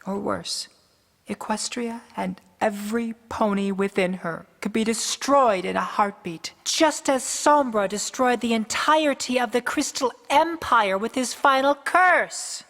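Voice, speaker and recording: A woman's voice: a little high, measured pace, vocal fry, a bit bright. A woman playing a grave, caring pony princess and elder sister in a fantasy radio drama, speaking in a formal, stately way. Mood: stern. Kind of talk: fan-made fantasy radio drama acting. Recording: long reverberant hall.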